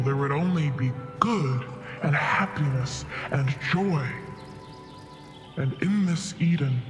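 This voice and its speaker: A man's voice: medium pitch, lightly acted. A man playing an ancient, wise celestial beast in a tabletop game, speaking in a solemn, oracular manner. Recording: long reverb, music behind. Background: music.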